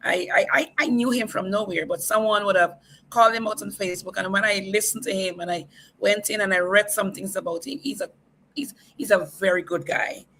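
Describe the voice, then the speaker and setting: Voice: medium pitch, steady tone, fairly quick, fairly bright tone, slight background noise, rough recording. The host of a livestream talk show, upbeat, introducing guests and repeatedly asking viewers to share the stream.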